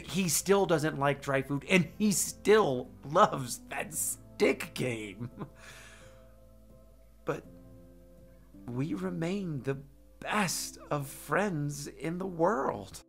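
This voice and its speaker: A man reading aloud as a gentle young male dog who tells about his boy, in a soft, calm storybook voice. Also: a little high, very slow, gravelly, pauses often, soft background music, exaggerated voice. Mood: tender. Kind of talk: children's book read-aloud.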